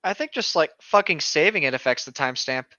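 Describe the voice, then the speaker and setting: A co-host makes casual, sardonic quips and swears lightly in a middle-pitched voice. The recording is dry and somewhat muffled, like a lo-fi microphone. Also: animated, quick pace, sharp tone, dry recording. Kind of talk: casual joking chat. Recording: dull, lo-fi microphone sound.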